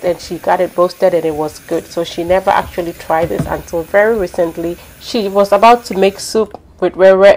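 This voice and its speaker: A woman home cook with a Ghanaian accent hosts in a slightly low voice. She explains each step conversationally, slipping into chatty storytelling as she goes. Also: a little mellow. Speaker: home cook hosting a cooking channel. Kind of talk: instructional cooking narration, conversational.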